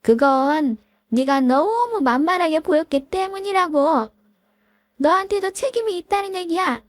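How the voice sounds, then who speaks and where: Woman putting on a shrill sneery bully girl voice, high and swinging all over, dry sound. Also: no echo. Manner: sneering, scornful, taunting. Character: spiteful woman, arrogant former school bully.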